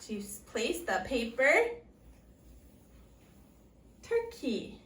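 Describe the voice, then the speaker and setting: A woman's voice: fairly high pitch, very animated, measured pace, fairly bright tone. A woman teaching young children English through an interactive read-aloud, using simple short phrases and prompting questions. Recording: echoey room, distant mic, faint noise.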